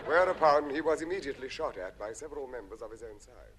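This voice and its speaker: An actor in a 1950s British radio comedy playing a formal, straight-faced newsreader delivering a mock bulletin. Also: male voice, medium pitch, quick pace, natural voice. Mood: deadpan. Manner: formal, deadpan. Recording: very noisy old radio recording.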